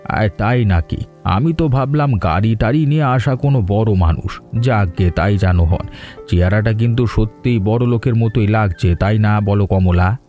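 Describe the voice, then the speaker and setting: A man's voice: on the low side, moderate pace, warm tone, faint music behind, natural voice. A man playing a chatty, boastful village busybody in a cartoon. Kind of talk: cartoon dialogue dub.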